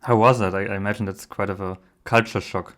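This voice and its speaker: Man, slightly low voice, podcast host, interview style. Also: fairly even intonation, fairly quick, a bit bright. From Germany. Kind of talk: interview hosting.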